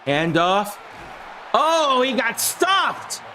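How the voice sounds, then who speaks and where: A man with a hoarse, flu-worn voice calling a video game football simulation play by play on a live stream, shouting on big plays and chatting with his viewers. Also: very high voice, big pitch swings, extremely slow, some chatter behind, a little hiss or hum.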